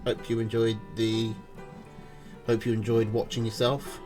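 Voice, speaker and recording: A man's voice: medium pitch, monotone, measured pace, music in the background. A man with a nasal voice interviewing a player casually, tired and rambling. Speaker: stream interviewer, caster. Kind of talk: casual post-match interview.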